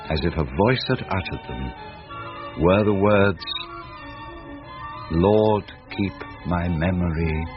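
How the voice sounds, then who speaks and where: A grave male storyteller narrates a radio drama in a deep, measured voice. The recording is dull and lo-fi, with music.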